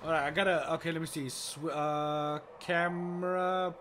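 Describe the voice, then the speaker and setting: A young man with a slightly high voice talks casually over a game, his voice worn and scratchy from a sore throat. He sounds easily amazed and chatty. Clear game music plays beneath him. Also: normal speed. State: sore throat, voice partly gone. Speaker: gaming YouTuber. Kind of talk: casual let's play commentary. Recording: gameplay music underneath. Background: calm game music.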